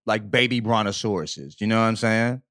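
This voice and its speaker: A man's voice: lowish voice, moderate pace, a bit bright. A man co-hosting a casual comedy podcast, talking in profane slang and repeating phrases for emphasis. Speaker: podcast co-host.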